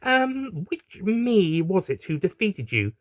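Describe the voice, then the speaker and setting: A man's voice: high-pitched, very animated, takes it slowly, no room sound, studio quality, voice pushed up, full character voice. A man voicing a cheerful, sarcastic, hyperactive young female alien time traveller who rambles teasingly in an audio story. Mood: rambling. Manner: bubbly, rambling, slangy and teasing.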